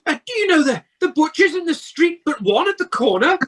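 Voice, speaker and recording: An actor playing an emotional, repentant old male miser in an amateur radio play, with theatrical, halting exclamations. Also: high voice, big pitch swings. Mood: excited, delighted. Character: repentant old male miser, desperate then giddily joyful. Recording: home video-call audio.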